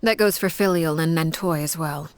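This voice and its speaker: Casting: woman as icy, authoritative game matriarch, lowish voice, formal, measured, never needs to plead. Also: no echo, natural voice. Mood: cold, measured. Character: cold, strict woman leading an orphan household, called Father. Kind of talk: video game voice acting.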